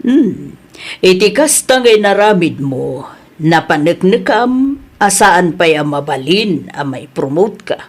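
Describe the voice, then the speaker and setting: A Filipino woman playing a patient but firm boss in an audio drama, measured, often humming thoughtfully before she answers. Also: lowish voice, big pitch swings, breathy edge, full character voice. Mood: stern, reproving. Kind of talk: acted audio comedy-drama dialogue.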